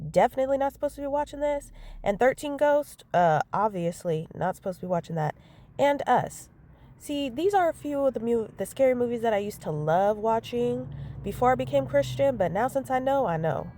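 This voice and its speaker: A woman in her thirties chatting casually to her phone camera from inside a car. Her nose is stuffy and she talks in an animated, self-mocking way. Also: fairly high pitch. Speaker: vlogger. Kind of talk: casual vlog chat.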